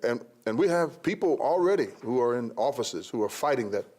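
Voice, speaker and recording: A man's voice: mid-range voice, lively intonation, fairly quick, a little mellow. A man in his late thirties, a pastor co-hosting a talk show, talking earnestly and repeating his points. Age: 30s. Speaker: co-host, pastor. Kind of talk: casual talk show chat.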